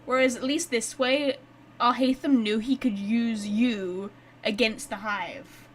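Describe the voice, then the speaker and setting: High-pitched woman doing casual gameplay commentary. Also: steady tone, slow pace. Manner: chatty, reacts aloud to the game. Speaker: game streamer. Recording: faint background noise.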